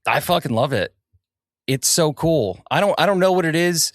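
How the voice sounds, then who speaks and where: A man's voice: a little high, expressive, normal speed, no room sound, clean studio sound. A man co-hosting a podcast in casual conversation, informal and swearing freely. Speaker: podcast co-host.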